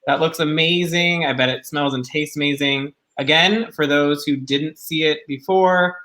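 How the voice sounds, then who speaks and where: A man's voice: on the high side, normal speed, low-quality recording. A man co-hosting a live video-call stream, reacting enthusiastically and reading out viewer comments. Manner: enthusiastic, warm reactions, giggly. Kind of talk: casual live-stream chat.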